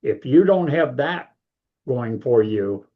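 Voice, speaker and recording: A man's voice: medium pitch, animated, takes it slowly, phone-quality sound. An older man, a grandparent, talking casually as an author guest in an interview, in a big voice with a folksy, rambling manner.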